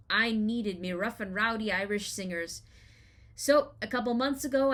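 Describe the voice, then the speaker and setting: An American woman in her thirties with a fairly high voice reads her own personal essay aloud. She narrates in the first person from a script. Her storytelling is expressive and wry. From Los Angeles, United States. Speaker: writer reading their own personal essay.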